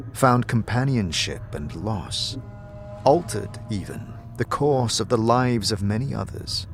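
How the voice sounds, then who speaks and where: A man's voice: fairly low pitch, gravelly. A man giving dramatic, scripted second-person narration for an audio drama as narrator and game master. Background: music.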